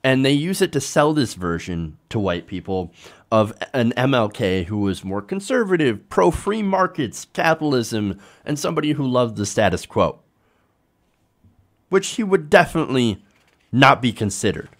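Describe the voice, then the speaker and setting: An American man delivers opinionated political commentary as a show host. His voice sits at a medium pitch and moves around a lot for emphasis. He argues emphatically, leaning on rhetorical questions. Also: sing-song, normal speed.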